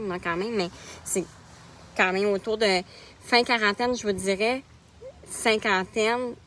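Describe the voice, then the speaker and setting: A woman's voice: mid-range voice, a little hiss or hum. A woman in her thirties with a Québécois French accent, a nutrition coach talking casually in a live stream and greeting viewers by name as she goes. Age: 30s.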